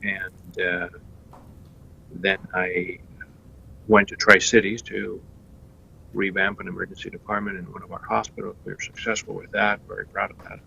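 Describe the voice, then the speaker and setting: A middle-aged man with a Cuban accent answering interview questions in a measured way, with frequent fillers and restarts. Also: low voice, level tone, gravelly, sharp tone, slight background noise. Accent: Cuban Spanish. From Cuba. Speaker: candidate guest. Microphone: video call. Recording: dull lo-fi remote call.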